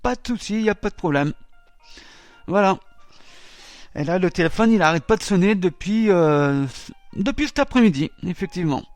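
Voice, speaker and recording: A man's voice: high-pitched, expressive, moderate pace, pauses often, dry recording, soft background music. A man hosting a web radio show, talking casually and hesitantly with many filler sounds.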